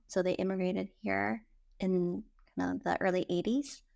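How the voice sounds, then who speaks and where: A woman's voice: medium pitch, gravelly. A woman guest from the United States, a native Vietnamese speaker, speaking steadily and plainly in a casual interview. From Portland, United States. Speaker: guest, skincare cofounder.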